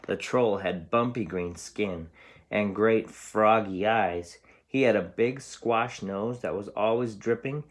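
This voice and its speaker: A man's voice: on the low side, takes it slowly. A man reading a storybook aloud as a teacher, explaining things simply for young children.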